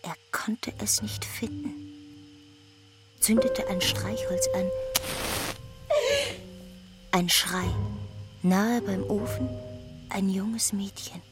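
A woman narrating a literary radio drama in terse, deliberate phrases. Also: mid-range voice, steady tone. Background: prominent music.

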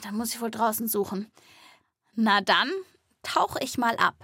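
A woman narrates a children's animal podcast in a lively, playful way, speaking straight to young listeners. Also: fairly high pitch, measured pace, breathy edge, no echo, clean studio sound.

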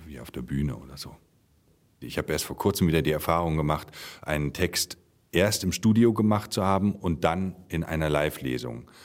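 A man reflecting on his work in an interview, speaking in a thoughtful, hesitant way. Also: rumbling low. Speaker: performer who reads texts.

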